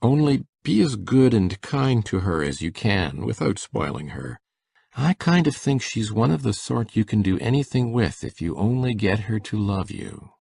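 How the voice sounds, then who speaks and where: Casting: male actor as gentle old country bachelor, very low and husky, folksy and hesitant. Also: big pitch swings, normal speed, dry recording, heavily acted. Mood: gentle, pleased. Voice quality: gravelly, husky. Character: shy, gentle, kindly old bachelor farmer. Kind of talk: audiobook dramatic reading.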